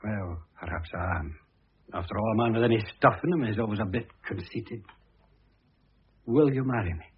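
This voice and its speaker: Conceited middle-aged ex-husband, radio play: very rough husky voice, indignant swoops, muffled narrow old recording. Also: male voice, fairly low pitch, normal speed. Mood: baffled, pressing. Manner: pompous, blunt, indignant. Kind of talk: vintage radio drama acting.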